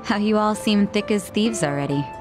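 A woman's voice: mid-range voice, moderate pace, close to an everyday voice. Actress as confident, wry young woman, music behind. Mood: amused. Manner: dry, direct. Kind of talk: video game voice acting.

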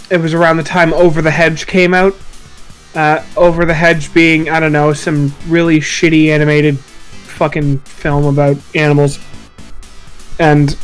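High-voiced sweary man hosting a podcast. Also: smooth. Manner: sweary, self-mocking, halting delivery. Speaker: podcast host. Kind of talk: casual podcast chat. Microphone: voice call microphone. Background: music.

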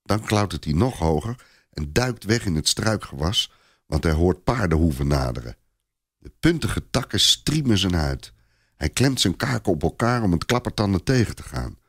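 A man with a low voice reads a story aloud as narrator. His delivery is expressive and deliberate, with lively rises and falls, recorded dry and close. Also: husky, no echo. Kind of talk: dramatised storytelling, scripted narration.

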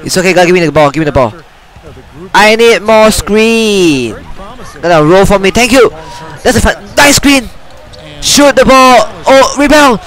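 A young man on a headset mic giving excited, trash-talking commentary while playing a basketball video game in a small room. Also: high-pitched, unhurried, low-quality recording. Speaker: gamer.

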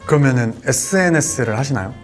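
A man's voice: medium pitch, lively intonation, fast talker. Young guy host, polite, curious, giggly, music bed behind. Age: 20s. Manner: curious, polite, laughs often. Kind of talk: casual interview over a meal.

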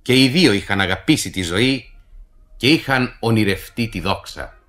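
Male radio narrator, formal scripted literary introduction, animated intonation, measured delivery. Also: on the low side. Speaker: radio announcer introducing a play.

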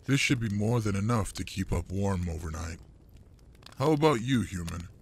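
A man playing a gruff older male monster adventurer in an intimate audio roleplay, fond and teasing with a human partner. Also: fairly low pitch, lively intonation, moderate pace, slight background noise. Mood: steady, wary.

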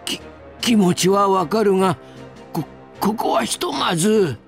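Anime game role: excitable old god, very high voice, emphatic, music behind. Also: male voice, unhurried. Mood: hesitant, pleading. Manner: urgent, stammering, emphatic. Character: fussy elderly male deity, alarmed but kindly. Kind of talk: anime video game acting.

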